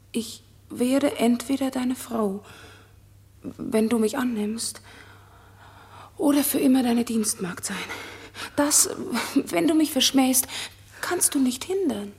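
An actress playing an innocent, lovestruck young maiden in a German radio drama, soft and earnest with tender emotion. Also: female voice, high voice, husky, exaggerated voice.

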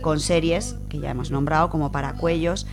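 Low-voiced woman from radio, Spain Spanish accent. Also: fairly even intonation, brisk, vocal fry. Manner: colloquial, familiar asides to listeners. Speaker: radio programme presenter of a comics segment. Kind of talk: informal talk from notes, biographical radio segment. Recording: music playing behind the voice. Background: music.

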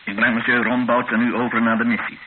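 A man's voice: mid-range voice, little pitch movement, fast talker, natural voice. Een man die in een hoorspel een formele legerkapitein speelt, zakelijk en kortaf. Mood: firm, curt. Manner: clipped, matter-of-fact. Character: curt, formal Congolese army captain, secretly humane. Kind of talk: radio play acting. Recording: muffled, hissy old radio recording.

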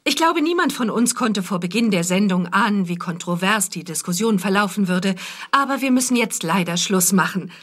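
A woman acting TV news presenter, medium-pitched, courteous, composed, professional moderator, radio play. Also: lively intonation, moderate pace, lightly acted. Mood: diplomatic. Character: polite, composed female morning television news presenter.

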